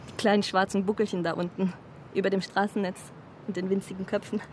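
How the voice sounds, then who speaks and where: A woman's voice: mid-range voice, flat delivery, fast talker, close to an everyday voice. An actress playing a talkative, caring, scatterbrained woman in a naturalistic radio drama, musing and rambling conversationally. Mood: musing, motherly. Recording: clearly noisy outdoor ambience.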